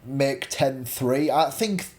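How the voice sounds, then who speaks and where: A man's voice: mid-range voice, slow pace. A man co-hosting a casual panel discussion, exasperated and given to repeating himself. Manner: exasperated, repetitive. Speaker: podcast co-host.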